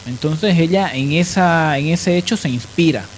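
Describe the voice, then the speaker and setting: A man's voice: on the high side, normal speed, slight background noise. A man with a Bolivian accent explaining things informally as a panelist on a radio talk show.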